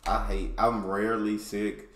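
A man's voice: lowish voice, level tone, measured pace, echoey room, crowd noise. A young man hosting a reaction video, chatting casually with slangy asides over a headset mic.

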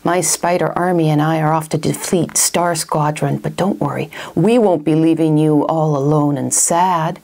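A woman's voice: low voice, steady tone, gravelly, a little mellow. Woman putting on a gloating theatrical mad scientist villain. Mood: menacing relish. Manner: menacing, theatrical, drawn-out.

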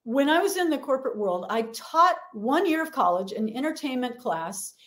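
A woman's voice: sits high, normal speed. A middle-aged woman, a leadership coach, talking energetically as a podcast guest. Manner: energetic, acts out quoted voices. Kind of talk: podcast interview.

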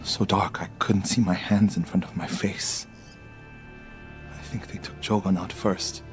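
A man playing a weary, grieving warrior monk in a game drama, speaking in a halting, somber and respectful way. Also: deep voice, fairly even intonation, over music. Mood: grieving, haunted. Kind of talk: cinematic game drama acting.

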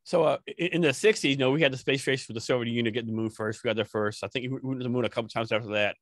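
A man in his forties hosting a podcast, asking quick, casual questions into a boom mic while wearing headphones. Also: no room sound, studio quality. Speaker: podcast host. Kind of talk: interview questions. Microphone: headset and boom mic in a treated studio.

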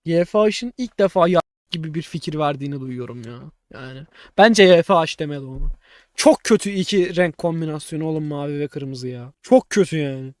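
A man's voice: a little high, a little fast. A man speaking casually as a streamer, blunt and slangy, repeating himself for emphasis while commenting on a video.

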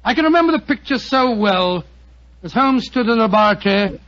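Fussy old-school british gentleman doctor, sentimental blusterer, high voice, dull old radio recording. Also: lively intonation, brisk. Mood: earnest. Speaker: radio actor. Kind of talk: 1940s radio acting, storytelling and dialogue.

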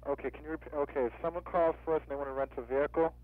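A man's voice: on the high side, steady tone, racing, dry recording. A man working as a rental agent on a customer service phone call, insistent and questioning, often repeating himself. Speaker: car rental office agent. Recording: tinny, narrow-band phone line.

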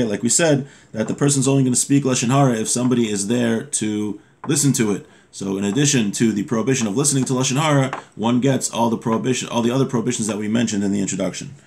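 Man teaching informally, medium-pitched voice. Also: a little mellow. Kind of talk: informal lesson, reading Hebrew text aloud and explaining it in English. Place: small home room with bookshelves, indoors.

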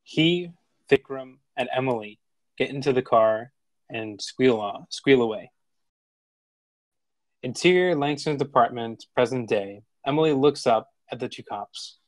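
A man's voice: medium pitch, a little slow, no room sound. A man narrating the stage directions of a screenplay at a table read.

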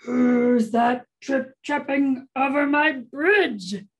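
A woman's voice: high-pitched, extremely slow, rough recording. A woman voicing a mean, ugly, greedy troll in a children's story, roaring out threats with growled hums. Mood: menacing.

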